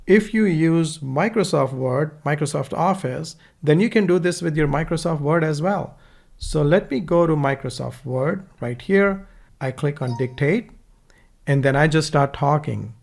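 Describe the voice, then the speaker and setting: A man's voice: a little high, gravelly. A man with an Indian accent teaching a screen-recorded course in a casual, instructive way, recorded on a USB desktop microphone. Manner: casual, instructive, dictates punctuation aloud.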